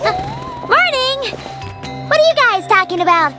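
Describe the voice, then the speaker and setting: A woman voicing a small, perky, chatty fairy girl companion in a video game, with a squeaky childlike voice and exclamatory manner. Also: sing-song, moderate pace, pushed and bright, faint music behind, heavily acted. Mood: chirpy. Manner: exclamatory, speaks of herself in third person. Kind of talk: animated video game character acting.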